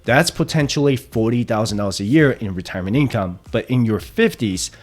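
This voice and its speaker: A man's voice: medium pitch, brisk. Man in his thirties explaining money. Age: 30s. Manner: steady explanatory, often checks in with "right?". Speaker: personal finance channel host. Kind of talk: explainer walking through retirement numbers.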